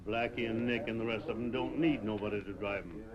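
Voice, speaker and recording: An actor playing a humble, blind old stagecoach driver with a hesitant, folksy American Western drawl in a radio western. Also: male voice, lowish voice, steady tone, a little fast, natural voice. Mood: resigned, fond. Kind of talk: 1940s radio western acting. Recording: muffled vintage radio recording with crowd.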